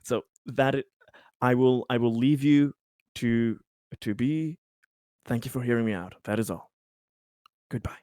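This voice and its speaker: A man voicing an earnest, long-winded, pragmatic colony official in an improvised tabletop game, speaking in halting, emphatic bursts. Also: mid-range voice, warm tone, dry recording, clean studio sound, natural voice. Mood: polite. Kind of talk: improvised tabletop roleplay dialogue.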